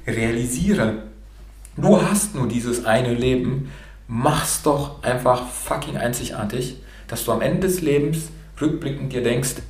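Man, rolled R, Romanian-born and raised in Germany, bilingual, casual frank talk, podcast host, echoey room. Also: mid-range voice. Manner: frank, with casual swearing and many fillers. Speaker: podcast host being interviewed.

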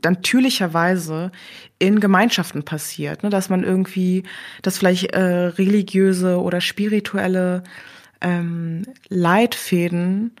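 A young woman speaks slowly and reflectively in an interview, her voice sitting a little low. She pauses often and circles around her thoughts with fillers before landing on a word. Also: fairly even intonation. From Germany. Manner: hesitant, searching for words with many fillers. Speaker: interview guest.

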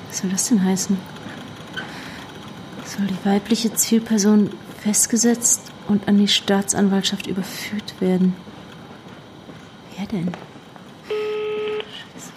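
A woman's voice: mid-range voice, expressive, slow, long pauses, a little mellow, a little hiss or hum, poor audio. An actress playing a determined, suspicious young woman working undercover in a German audio drama, pressing and insistent in manner. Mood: alarmed.

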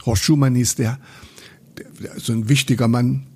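An older man, his voice gravelly and husky. He tells his memories in a rambling way, breaking off and restarting sentences as he goes. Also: medium pitch, steady tone, moderate pace, a little mellow. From Saxony, Germany. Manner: rambling, self-interrupting storyteller with many restarts. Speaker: interviewee, photographer. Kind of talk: recollecting in a conversational interview.

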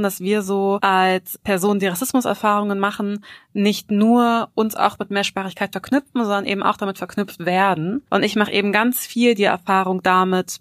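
A woman's voice: on the low side, no room sound, clean studio sound. A woman speaking as a panel guest, thoughtful and analytical, measuring her words. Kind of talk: panel discussion.

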